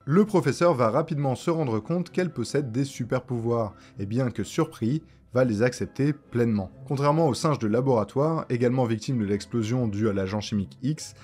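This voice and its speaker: A man's voice: medium pitch, a little fast, hardly any pauses, a little mellow, husky. A man presenting a video essay in scripted narration, with casual slang and joking asides. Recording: music behind the voice. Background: background music.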